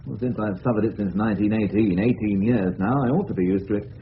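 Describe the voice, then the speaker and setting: A man's voice: lowish voice, fast talker, natural voice. An actor playing a chummy, opinionated, old-fashioned middle-aged English father in a 1930s radio drama. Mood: chummy, rueful. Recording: noisy tinny old radio recording.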